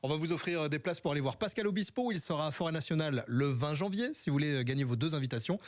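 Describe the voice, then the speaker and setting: Fast-talking radio host man. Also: fairly high pitch, animated. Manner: upbeat, brisk announcer delivery. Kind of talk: radio show hosting. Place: radio studio.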